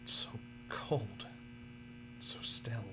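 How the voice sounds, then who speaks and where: An actor playing a distraught husband in an audio drama, faltering and pleading. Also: male voice, very slow, sharp tone, full character voice. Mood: shaken, grieving. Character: distraught, desperate husband forced into grim labor. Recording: dull recording with humming mains noise.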